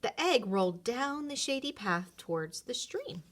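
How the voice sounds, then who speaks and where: A woman narrating a children's story in an expressive read-aloud style. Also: fairly high pitch, a little slow, a little hiss or hum.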